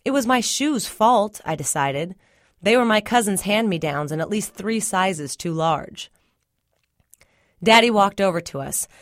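A woman's voice: medium pitch, lively intonation, unhurried, no room sound, studio quality. A woman reading a first-person literary short story aloud as scripted narration. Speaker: story reader.

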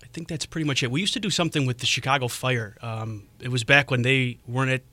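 A man's voice: mid-range voice, fast talker, pushed and bright, dry recording. A man who is a guest on a radio show, answering interview questions about his work with a hesitant manner and frequent "um"s. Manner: hesitant, lots of "um"s, friendly. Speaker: restaurant creative director, radio guest. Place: radio studio. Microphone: studio microphone.

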